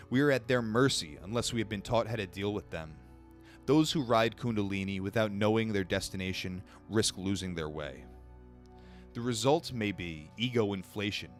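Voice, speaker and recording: A young man with a lowish voice reads a spiritual book aloud for a live audience, tripping over the odd word. Between passages he talks with chat in a loose, blunt, profane way. Faint music plays behind him. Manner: casual and blunt, swears, breaks off reading to answer chat; stumbles over words while reading. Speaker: livestream book reader. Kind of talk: reading a book aloud on a livestream, chatting with viewers.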